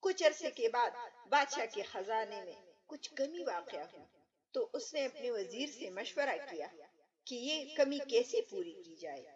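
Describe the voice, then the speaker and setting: A woman's voice: on the high side, sing-song, unhurried, far from the mic, muffled recording. A woman narrating a scripted moral story as a storyteller and channel host.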